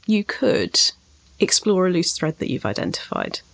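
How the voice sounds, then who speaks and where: A woman's voice: fairly low pitch, sing-song, normal speed, creaky. A woman co-hosting a discussion of writing craft, explaining points in articulate, emphatic phrases. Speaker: podcast co-host, writing coach.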